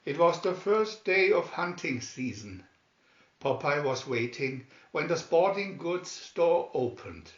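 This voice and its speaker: A man reading a children's story aloud in a measured, expressive, scripted narration. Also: on the high side, echoey room, lo-fi sound. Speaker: storybook reader.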